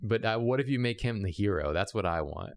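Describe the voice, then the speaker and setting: Gravelly low-voiced joking man. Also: expressive, fairly quick. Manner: joking, riffs on bits. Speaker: show regular. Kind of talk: casual comedic banter.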